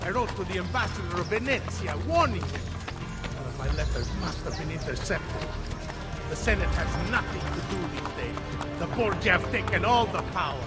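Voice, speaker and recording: An actor playing a talkative, cynical, debt-ridden Roman senator with an Italian accent, wry and self-mocking in video game dialogue. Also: male voice, sits high, expressive, moderate pace, loud music behind the voice. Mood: rueful, rambling.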